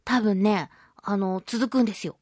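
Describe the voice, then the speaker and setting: A woman radio host talking casually to her listeners in an expressive, emphatic, chatty way, stretching words for emphasis. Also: mid-range voice, normal speed, no echo, studio quality. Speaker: radio show host and voice actress.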